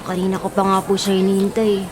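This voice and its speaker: A woman's voice: mid-range voice, completely flat delivery, quick pace, noisy background, lightly acted. A woman acting polite, downhearted little Filipino schoolboy. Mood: resigned, polite. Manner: respectful, childlike, earnest. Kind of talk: Filipino radio drama acting. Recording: music under the voice.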